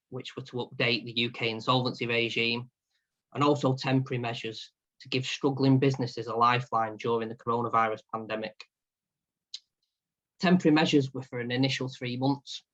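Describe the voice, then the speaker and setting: Man, steady scripted presenter, flat delivery, muffled lo-fi call audio. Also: medium pitch. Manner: steady, reads prepared notes. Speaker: partner and insolvency practitioner.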